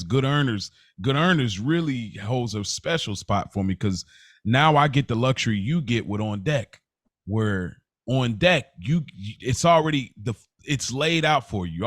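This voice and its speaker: A man in his thirties with an American accent talks as a podcast co-host in a mid-pitched voice. His delivery is casual and unscripted, full of slang and animated repetition. The sound is dry, with very little room in it. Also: no room sound.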